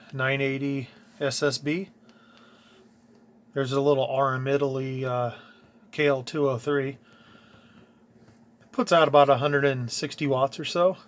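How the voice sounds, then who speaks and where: A man giving a casual, plainspoken walkthrough of his own do-it-yourself work, informally pointing things out as he goes. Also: mid-range voice. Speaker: DIY hobbyist showing his own install. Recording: noticeable ambient background noise.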